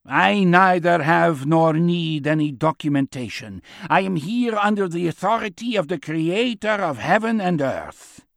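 A man voicing an old prophet who proclaims scripture in a booming, righteous, defiant voice. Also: lively intonation, gravelly, no room sound, studio quality. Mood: defiant, commanding. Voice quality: huge, deep, piercing, booming. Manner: slow solemn proclaiming of scripture.

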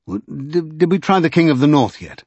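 A man voicing a confident, persuasive gentleman magician in an audiobook, with emphatic old-fashioned speech. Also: on the high side, lively intonation, brisk, breathy edge, no echo, muffled recording, pitched above the performer's own voice. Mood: curious.

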